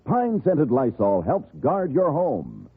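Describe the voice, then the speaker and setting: A man's voice: fairly high pitch, huge pitch swings, slow, breathy edge. A man reading a vintage radio commercial as its announcer. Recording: tinny old radio.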